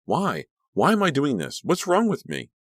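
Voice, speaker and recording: A man's voice: low-pitched, very animated, normal speed, a bit bright, dry recording, studio quality. An actor voicing a frightened teenage girl who feels she is losing control, in an anguished, breathless way. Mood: frantic. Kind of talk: audiobook character reading.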